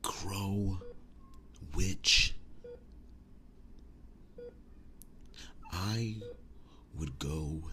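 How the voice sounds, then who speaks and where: A man playing a devoted, tender werewolf boyfriend in an intimate ASMR roleplay, speaking softly and breathily, often dropping to a whisper, earnest and halting. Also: rumbling low, background noise, exaggerated voice. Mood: vowing, devoted. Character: devoted, tender werewolf boyfriend sharing his soul with demon knight.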